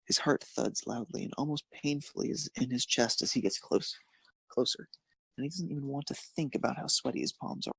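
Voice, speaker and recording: A man's voice: medium pitch, fairly quick, creaky, a bit bright, breathy edge, dry recording. A man narrating a scripted piece of fan fiction as a storyteller.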